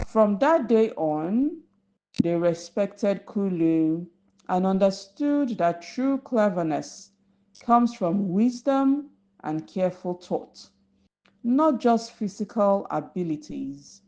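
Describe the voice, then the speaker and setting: A woman narrating a folktale for young children as a storyteller, deliberate and instructive. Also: on the low side, warm tone. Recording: slightly dull lo-fi recording.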